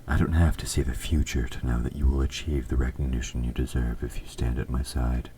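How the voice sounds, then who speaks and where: A man playing an evil, possessive king in an audio roleplay, speaking formally and with measured, quiet menace, his S sounds sharp. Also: a bass voice, slight background noise. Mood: possessive, persuasive. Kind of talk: scripted audio roleplay, intimate dramatic monologue.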